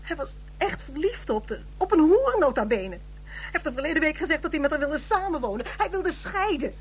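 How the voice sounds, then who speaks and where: A woman's voice: high voice, sing-song, fairly quick, a little hiss or hum. An actress playing a proud, bitter widow in her fifties, curt and formal, in a radio drama. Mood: bitter, agitated. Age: 50s.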